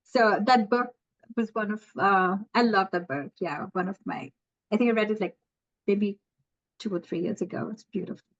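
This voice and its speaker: A woman chatting casually in an art study group, often pausing and restarting. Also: medium pitch, highs cut off.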